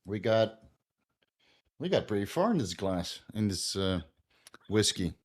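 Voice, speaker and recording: A man's voice: fairly low pitch, big pitch swings, unhurried. A man in his forties from the Netherlands, with a slight Dutch accent, hosting a casual Q&A stream while tipsy, rambling loosely and swearing freely. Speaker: host. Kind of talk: casual livestream Q&A.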